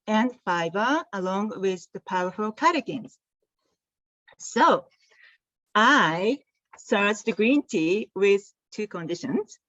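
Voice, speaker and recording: A woman's voice: mid-range voice, no room sound. A woman with a Japanese accent tells her story earnestly and at a careful pace. The call audio is dry and dull. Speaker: guest, tea company founder. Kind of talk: storytelling interview answer.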